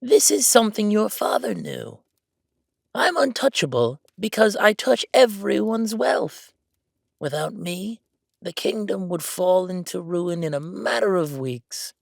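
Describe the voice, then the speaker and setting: A high, theatrical voice for a smug, calculating count in an audio drama. The delivery swoops widely and takes its time, savoring each taunt with grand self-assurance. The recording is close and dry. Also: male voice, animated, unhurried, vocal fry, dry recording, heavily acted. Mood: proudly assured. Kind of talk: villain audio roleplay.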